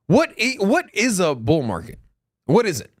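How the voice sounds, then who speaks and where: A man co-hosts a casual crypto live stream and speaks in a high voice that swings widely. He rambles, restarts his sentences and keeps pushing his point. The sound is dry and close. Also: moderate pace, no room sound. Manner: animated, rambling, many restarts. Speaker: co-host of a crypto news show.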